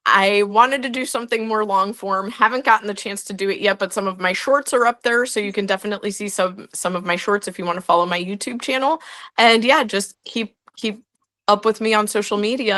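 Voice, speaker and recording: A woman's voice: mid-range voice, bright, forceful tone. A young woman, a guest historian, answering interview questions in a chatty way full of "like" and restarts.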